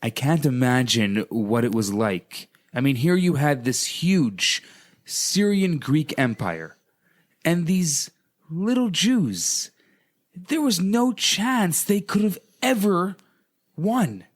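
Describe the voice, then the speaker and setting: A man, a rabbi on the radio, telling stories with deliberate phrasing and dramatic repetition. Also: a little high, big pitch swings. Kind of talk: conversational radio storytelling.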